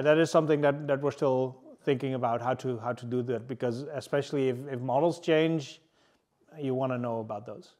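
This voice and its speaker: Casting: presenter in his thirties, slightly raised voice, halting delivery full of fillers, audience room. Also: on the high side, a little mellow. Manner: halting, many fillers and restarts. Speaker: engineering manager presenting. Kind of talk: casual tech meetup talk. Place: large room with a seated audience, indoors.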